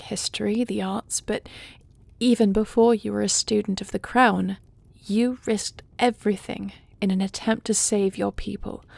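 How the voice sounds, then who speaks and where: A regal dragon queen acted by a woman in an audio drama, earnest and motherly. Her medium-pitched voice has a creaky edge and is recorded dry and close. She speaks in formal, measured phrases with a gentle touch. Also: no room sound. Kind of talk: scripted audio roleplay drama.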